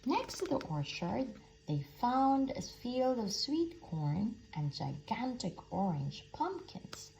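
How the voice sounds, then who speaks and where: A woman reading a children's story aloud in expressive scripted narration. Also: fairly high pitch, slow, gravelly, a little hiss or hum, low-quality recording. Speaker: storybook reader.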